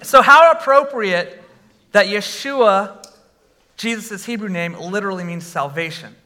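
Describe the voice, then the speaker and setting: A man preaching a sermon to a congregation, conversational with fillers, and breaking into animated shouts when he exclaims. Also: high voice, measured pace. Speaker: pastor.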